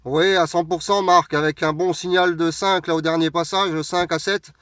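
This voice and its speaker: High, clear-voiced Frenchman on radio. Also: fairly even intonation, normal speed, fairly bright tone, no echo, clean studio sound. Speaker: mobile radio operator. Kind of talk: friendly radio contact chat. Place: inside a parked vehicle. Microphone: radio hand microphone, recorded close by.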